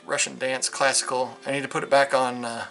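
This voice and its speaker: A man's voice: mid-range voice, flat delivery, fairly quick, creaky, pushed and bright, faint music behind, poor audio. A man giving a casual, unscripted product review, rambling and hesitant, often trailing off mid-thought. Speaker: hobbyist video reviewer.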